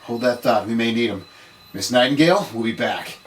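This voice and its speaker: A man's voice: mid-range voice, animated, brisk, a bit bright, lightly acted. A man reading the part of a brusque, knowing occult investigator in an amateur drama table read. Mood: urgent. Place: room, read aloud at a table. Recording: distant room mic, faint noise.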